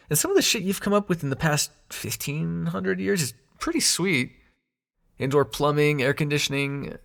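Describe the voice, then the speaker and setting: A man's voice: medium pitch, vocal fry, fairly bright tone. A man acting in an intimate audio roleplay as a young god of dreams, sarcastic and wounded but fond, talking in casual, sweary modern slang. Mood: teasing, fond.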